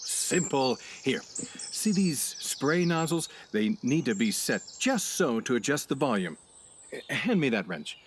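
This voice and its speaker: A man's voice: mid-range voice, sing-song, a little slow. A breezy, self-assured man, played by an actor who sounds sure of everything, his voice swinging widely up and down. He talks like a salesman in a small-town radio play, with faint noise in the background. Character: brash overconfident male drifter, smooth talker. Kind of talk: community theatre radio play.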